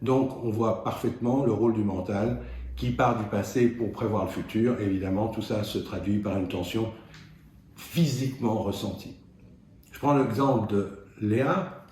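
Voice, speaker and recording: A man giving an explanatory self-help talk as a doctor, in a measured, didactic and halting way with pauses. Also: fairly low pitch, a little mellow. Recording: very echoey room, faint noise.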